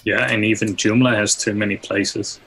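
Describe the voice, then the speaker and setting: A man's voice: lowish voice, fairly even intonation, normal speed, a bit bright, breathy edge, poor audio. A man in a casual technical discussion on a group call, stating his opinions in a matter-of-fact way. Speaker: web software expert on a livestream.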